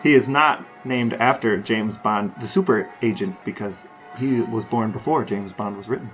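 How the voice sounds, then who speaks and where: A man's voice: on the low side, moderate pace, over music, rough recording. A man co-hosting a podcast in casual chat, reading out quotes and bits of trivia. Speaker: podcast co-host.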